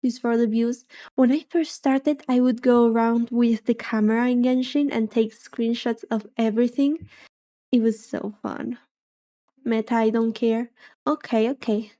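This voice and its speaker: Soft-spoken woman streamer, mellow tone. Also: a little high. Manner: soft-spoken, rambling and chatty. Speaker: VTuber streamer. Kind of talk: casual chat while gaming.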